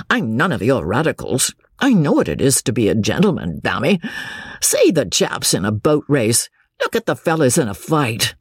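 A narrator performs a jovial young English gentleman deep in his cups in a storybook reading. He brags and rambles in slang, amused by himself, with wildly swinging pitch and a very husky tone. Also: wildly swooping pitch, normal speed, dry recording, clean studio sound. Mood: tipsy, boastful.

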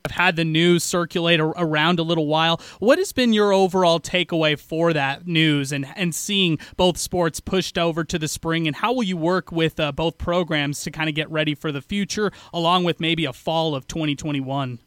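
A man's voice: on the high side, normal speed, hardly any pauses, a bit bright, clean tone, no room sound. Male radio co-host, clean resonant tone, dry close sound. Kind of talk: interview question.

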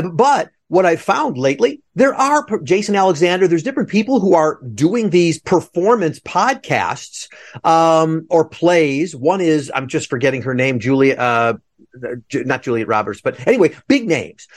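A man's voice: fairly high pitch, animated, moderate pace. A man hosting a podcast interview in a rambling, conversational way. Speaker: podcast host.

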